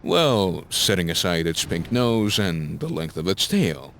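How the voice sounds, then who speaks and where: Low-voiced, expressive male story narrator. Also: measured pace, vocal fry, a little hiss or hum. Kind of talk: scripted dramatic story narration.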